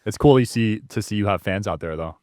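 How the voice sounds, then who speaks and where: A male podcast host with a low voice. He talks fast in a casual, slangy, laid-back way, reacting briefly, on a dry close recording. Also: a little mellow, no echo. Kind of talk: casual chat, short reactions.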